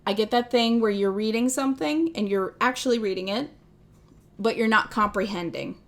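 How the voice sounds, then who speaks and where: A woman's voice: on the high side, moderate pace. Rambling Midwestern woman streamer. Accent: Midwestern American. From American Midwest. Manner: rambling, self-deprecating, laughs often. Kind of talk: casual livestream chat.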